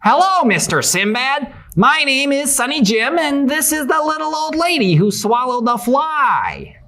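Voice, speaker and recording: Woman playing plucky young lad, puppet show hero, very high voice, lively swoops, earnest, polite. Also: a little slow, full character voice. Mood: polite, curious. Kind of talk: theatrical puppet show performance.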